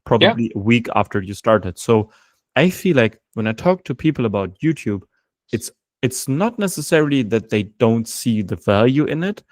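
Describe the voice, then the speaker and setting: A man's voice: medium pitch, big pitch swings, normal speed, dry recording. A man hosting a podcast interview, who gives short acknowledgements and asks long, winding questions. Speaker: podcast host.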